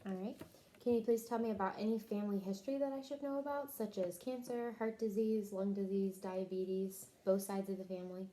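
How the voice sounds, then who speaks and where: A woman's voice: mid-range voice, steady tone, fairly quick, warm tone, low-quality recording. A woman interviewing someone for a health history, working methodically through prepared questions and briefly acknowledging answers. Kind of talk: scripted clinical questionnaire interview.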